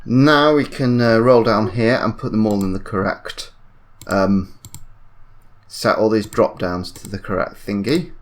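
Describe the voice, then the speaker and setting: A man giving an informal software tutorial, thinking aloud and correcting himself in a hesitant way. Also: lowish voice, fairly even intonation, a little slow, background noise. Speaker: tutorial presenter.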